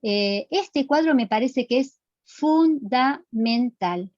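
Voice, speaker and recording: A woman's voice: sits high, animated, slow, darkish tone, no echo, dull audio. A middle-aged woman with a Rioplatense Argentine accent lecturing to students in a didactic, emphatic way, checking they follow with short questions. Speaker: physical education lecturer.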